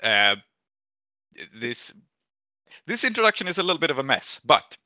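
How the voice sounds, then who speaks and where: A man's voice: a little high, big pitch swings, normal speed, pushed and bright, no echo, highs cut off. A man hosting a tabletop roleplaying podcast as game master, recapping the story casually with hesitant, trailing asides.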